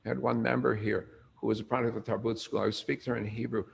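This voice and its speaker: An older man with a gravelly voice giving an informal lecture on history, asking his audience questions and answering them himself. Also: mid-range voice, racing, slight background noise. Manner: animated storyteller, asks the room questions.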